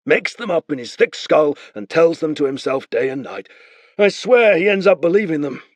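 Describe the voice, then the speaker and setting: A man voicing a gruff, wily warrior who brushes off a boy's claims. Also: on the high side, lively intonation, moderate pace, no room sound, pitched above the performer's own voice. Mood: dismissive. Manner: dry, dismissive. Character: gruff, wily male axe-carrying warrior.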